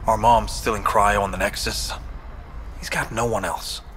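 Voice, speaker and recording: An actor playing an anxious, guilt-ridden debt collector for a gang in a video game, speaking in a nervous, hesitant way. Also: male voice, lowish voice, steady tone, normal speed, fairly bright tone, a little hiss or hum, natural voice. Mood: guilty, worried. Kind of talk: scripted game dialogue. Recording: faint vehicle and outdoor ambience.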